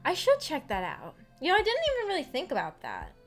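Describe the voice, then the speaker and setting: A young adult woman livestreaming in a casual, halting, rambling chat with her viewers over background music. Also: sits high, huge pitch swings. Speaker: streamer hosting a visual novel book club.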